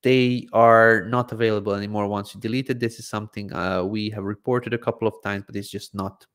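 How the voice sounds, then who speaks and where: A man's voice: lowish voice, fairly quick, clear, resonant tone. Easygoing thirties man hosting a live Q&A. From Croatia. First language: Hungarian. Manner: relaxed, hesitant with frequent ums and "I believe". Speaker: livestream Q&A host. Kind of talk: casual live Q&A answering viewer questions. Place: home studio, indoors, seated in gaming chair. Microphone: desk microphone in front of face.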